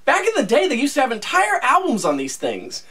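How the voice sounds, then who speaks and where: A woman's voice: high voice, huge pitch swings, fairly quick, a bit bright, husky, recorded from a distance. A woman hosting a scripted comedic video in a playful, exaggerated manner. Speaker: video host. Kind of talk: scripted comedic intro and skit.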